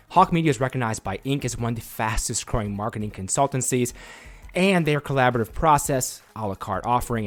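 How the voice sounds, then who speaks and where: A man hosting a podcast, reading a sponsor message and then chatting upbeat with his guest. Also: medium pitch. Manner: upbeat, reads sponsor copy then chats. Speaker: podcast host. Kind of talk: podcast interview and ad read.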